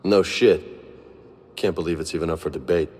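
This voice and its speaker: Low-voiced blunt streetwise soldier. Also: male voice, steady tone, moderate pace, darkish tone. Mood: skeptical. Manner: informal, blunt, slangy. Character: blunt, hot-blooded young male soldier, streetwise. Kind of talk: video game dialogue acting. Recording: reverberant hall sound.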